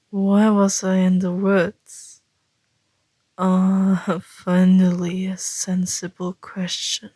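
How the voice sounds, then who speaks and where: A woman playing an ancient, teasing vampire in an intimate ASMR roleplay, breathy and often whispering, calm and deliberate. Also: medium pitch, no room sound. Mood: musing, flirtatious. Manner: slow, deliberate, teasing, with long pauses.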